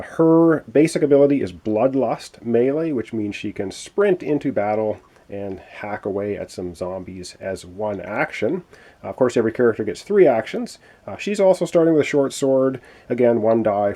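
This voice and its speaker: A man's voice: fairly low pitch, expressive, normal speed, dark tone. A man explaining a board game playthrough in a chatty, explanatory way, with many fillers, while losing his voice. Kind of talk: casual tabletop game walkthrough.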